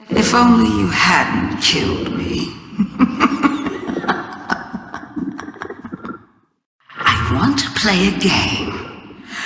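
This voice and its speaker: The villainess of a fantasy game, taunting intruders with slow, theatrical riddles down the long stone halls of her throne world. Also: deep voice, fairly bright tone, full character voice. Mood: taunting, playful. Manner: theatrical, slow, savoring each word. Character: scheming alien witch queen, taunting, theatrical riddler. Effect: cavernous reverb. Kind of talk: dramatic video game monologue. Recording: long reverberant hall echo.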